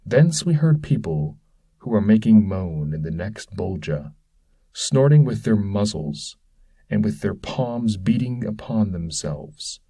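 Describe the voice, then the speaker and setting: A man giving a solemn, measured, dramatic reading of epic poetry. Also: lowish voice, big pitch swings, dark tone, slightly rough. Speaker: audiobook-style reader.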